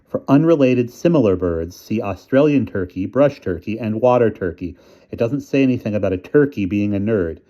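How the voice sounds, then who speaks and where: A man's voice: fairly low pitch, expressive, moderate pace, dark tone, highs cut off, lightly acted. A man voicing a nagging, pedantic middle-aged mother who reads definitions aloud and scolds her son. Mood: prim.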